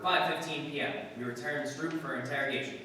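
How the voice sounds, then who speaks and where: Deadpan police detective, played by a man, echoey hall. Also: on the high side, fairly quick, loud music behind the voice, lightly acted. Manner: terse, deadpan, matter-of-fact. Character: no-nonsense male police detective who narrates his cases. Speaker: amateur radio-play actor. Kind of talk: 1950s police radio drama, clipped narration. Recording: echoey hall from far off, faint noise.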